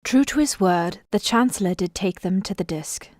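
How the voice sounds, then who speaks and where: A woman's voice: mid-range voice, normal speed, a little mellow, no echo, close to an everyday voice. A woman narrating an epic fantasy audio drama as a solemn storyteller, with scripted dramatic delivery.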